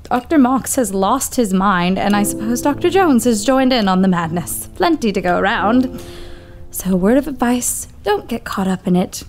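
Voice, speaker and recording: An actress playing a fed-up, sharp-tongued female assistant who speaks curtly, with music behind. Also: medium pitch, expressive, normal speed, close to an everyday voice. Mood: exasperated. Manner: curt, exasperated. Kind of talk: audio drama acting.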